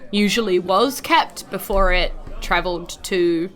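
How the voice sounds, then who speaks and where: A woman's voice: lowish voice, measured pace, a bit bright. A woman with a New Zealand accent, casually narrating a tabletop role-playing game as game master.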